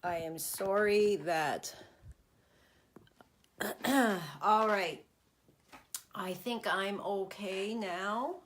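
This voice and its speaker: A woman's voice: mid-range voice, drawn-out words, long pauses, a little hiss or hum. A middle-aged woman, nasal and congested from a cold, chatting casually with many ums during a home craft live stream, recorded on a tablet camera. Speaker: stamping craft demonstrator. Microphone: phone or tablet camera.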